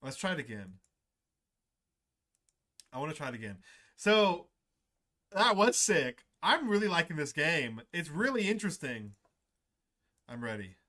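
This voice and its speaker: A man hosting a let's play, excitably chatting to viewers and reading game text aloud. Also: on the high side, a little slow, pauses often. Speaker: gaming channel host. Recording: game music playing underneath.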